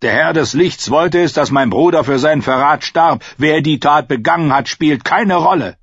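A man's voice: fairly high pitch, normal speed, breathy edge, dry recording, muffled recording, pitched above the performer's own voice. A man voicing a stern, commanding king. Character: stern male king, cold and unbending.

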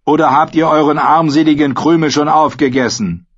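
A man's voice: on the high side, steady tone, a little fast, muffled recording, voice pushed up, natural voice. A man voicing a big, scornful, mutinous soldier in an audiobook, taunting and defiant. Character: big, insolent, club-footed male soldier, mutinous and scornful.

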